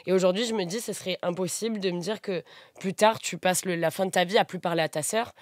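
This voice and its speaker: A woman's voice: lowish voice, quick pace, no room sound, studio quality. Woman with a southern French accent. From southern France. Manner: chatty, animated storytelling. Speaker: podcast guest. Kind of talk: casual group chat.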